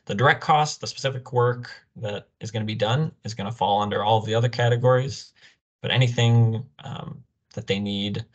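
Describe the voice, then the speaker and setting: Young man, lower voice, explanatory webinar presentation, video call. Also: moderate pace, lo-fi sound. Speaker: program officer presenting a grant orientation. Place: indoor room, on a video call. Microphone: video call microphone.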